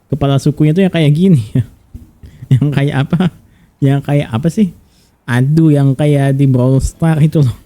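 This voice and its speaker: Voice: mid-range voice, steady tone, normal speed, mellow. A game streamer with an Indonesian accent giving casual gameplay commentary.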